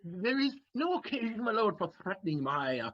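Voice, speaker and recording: A man playing a quick-witted, cheeky manservant in a comic period play, theatrical and given to stammering evasions, on a slightly dull recording. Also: high-pitched, big pitch swings. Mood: nervous bluffing. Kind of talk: comic period stage acting.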